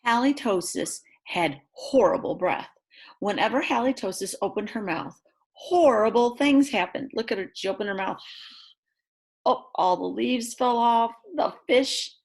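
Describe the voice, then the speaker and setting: A woman reads a picture book aloud to young children in an expressive way, adding chatty asides about the pictures. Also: mid-range voice. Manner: expressive, exclaims and gasps over the pictures. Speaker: read-aloud storyteller for children.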